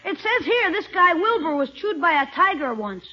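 Casting: nosy American kid nephew, vintage radio comedy. Tiny high-pitched voice, animated, wide-eyed curiosity, dull period sound. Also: female voice, normal speed, full character voice. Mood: curious, awed. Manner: eager, wide-eyed, inquisitive. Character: eager, nosy young boy, admiring nephew. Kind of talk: 1950s radio situation comedy acting. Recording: dull old 1950s radio recording.

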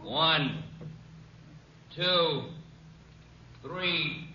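A dutiful cop in old radio drama, official and hard. His high voice is extremely sharp and rings with echo on a dull recording. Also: very slow, full character voice. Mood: stern, warning. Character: dutiful policeman with hard, official shouted delivery. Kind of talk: 1950s radio drama acting. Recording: muffled, echoing, faintly noisy 1950s radio.

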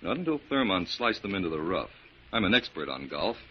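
A man's voice: low voice, very animated, a little fast. A man playing a captured killer confessing in a resigned, matter-of-fact way in a 1940s radio drama. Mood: resigned confession. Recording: muffled, noisy 1940s radio recording.

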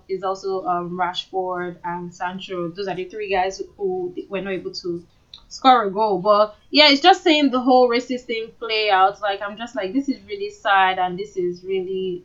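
A woman with a Nigerian accent speaks at a middling pitch in a casual, earnest way. She sounds like a podcast co-host airing her opinions in conversation. The audio is a little dull and lo-fi. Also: fairly bright tone.

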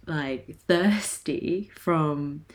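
Raspy-voiced young woman, low and hoarse. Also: extremely slow. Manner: chatty, laughs often, many fillers. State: hoarse from a night of singing. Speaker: podcast host. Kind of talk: casual solo podcast chat.

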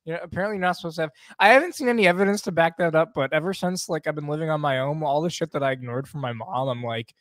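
A young man in relaxed podcast banter, casual and profane. His voice sits a little high and is nursing a cough. The sound is close and dry, with no room around it. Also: rapid-fire, few pauses, dry recording. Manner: loose, swearing, laughing banter. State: has a cough. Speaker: podcast co-host. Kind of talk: casual chat.

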